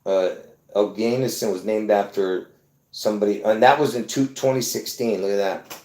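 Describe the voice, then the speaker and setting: Excitable man rambling in an echoey room. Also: fairly low pitch, moderate pace. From Lebanon. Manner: excitable, repeats himself, laughs between points. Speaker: video host. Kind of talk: rambling casual monologue to viewers.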